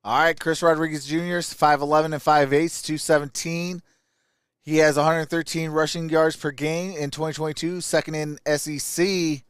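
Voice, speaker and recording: A man's voice: a little high, level tone, moderate pace, clean tone, no echo, studio quality. A man hosting a live sports stream, giving casual, opinionated commentary and answering viewer comments.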